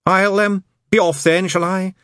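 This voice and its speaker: Man voicing a nervous male demon, high strained voice, jittery babble, dry close sound. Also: steady tone, measured pace, a bit bright, dry recording.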